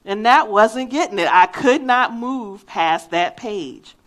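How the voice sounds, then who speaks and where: A woman's voice: medium pitch, animated, takes it slowly, a bit bright. A woman from Philadelphia with a faint Philadelphia accent, preaching a sermon in an earnest, emphatic way and weaving in a personal story. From Philadelphia, USA.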